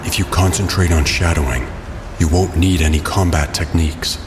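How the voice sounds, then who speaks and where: A man's voice: low voice, steady tone, moderate pace, background noise, close to an everyday voice. An actor playing a grim, commanding male vigilante who speaks in stern, terse instructions in an audio drama. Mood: grave, instructive.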